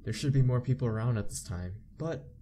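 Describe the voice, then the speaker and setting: A man's voice: mid-range voice, lively intonation, a little fast, noisy background. A man hosting a visual novel playthrough, reading the on-screen story aloud and commenting casually. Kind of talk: casual game narration, reading text aloud. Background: game background music.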